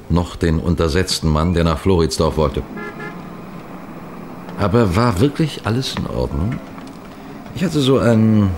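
A deep, low male voice as a wary police detective, telling his story deliberately with suspenseful pauses. It is a vintage radio crime play with clear background noise. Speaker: narrator and lead character. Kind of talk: 1960s German radio crime drama narration. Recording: noisy vintage 1960s radio recording.

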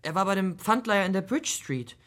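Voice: mid-range voice, expressive, a little fast, a bit bright, close to an everyday voice. A performer playing a streetwise London street boy in a German radio drama, blunt and defensive. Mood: defensive, uneasy.

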